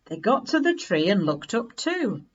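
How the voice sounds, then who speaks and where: A woman reading a children's story aloud in an expressive, unhurried way with dramatic emphasis. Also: mid-range voice, husky, lo-fi sound.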